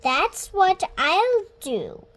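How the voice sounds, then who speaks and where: A girl of about six reading a children's storybook aloud, halting word by word, stumbling and correcting herself. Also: extremely high pitch, big pitch swings, fairly bright tone. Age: child, about 6 years old. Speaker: young reader practising reading aloud.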